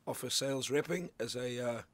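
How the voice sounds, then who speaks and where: Middle-aged guy from zimbabwe, sales coach on a radio show, chatty, lots of um and restarts, mid pitch. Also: brisk, no echo. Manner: loose and conversational, many restarts and fillers. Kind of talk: radio interview, explaining ideas. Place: radio studio.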